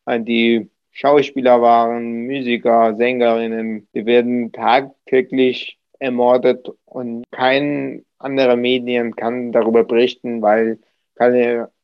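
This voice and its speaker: Guy talking earnest in an interview, flat voice, kinda muffled dry audio. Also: medium pitch, takes it slowly, smooth, no echo. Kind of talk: interview statement.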